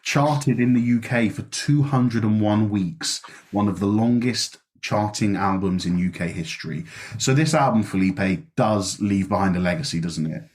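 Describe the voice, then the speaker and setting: A man's voice: on the low side, moderate pace, a little mellow. A man co-hosting a casual music podcast, chatty and easygoing, reading off lists of facts and ending points with tag questions. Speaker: podcast co-host. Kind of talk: casual chat.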